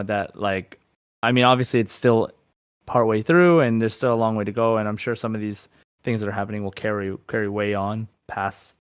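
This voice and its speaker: A man co-hosting a casual gaming podcast, talking in an excited, meandering way. His pitch sits in the middle for a male voice, and the recording is close and dry, with little room sound. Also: clean tone, dry recording. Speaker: podcast co-host.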